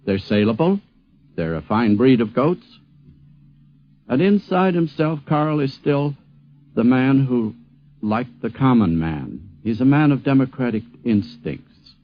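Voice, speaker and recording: A man giving a reflective spoken tribute, stressing his points deliberately. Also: mid-range voice, expressive, soft background music. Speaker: commentator recalling an acquaintance. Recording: dull lo-fi vintage radio recording.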